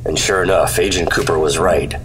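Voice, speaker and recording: A man's voice: deep voice, fairly even intonation, brisk, far from the mic, close to an everyday voice, rough recording. An actor playing a steely 1950s government agent, narrating his adventures in a clipped, dramatic, hard-boiled radio style. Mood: sober, resolute. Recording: loud music under the voice.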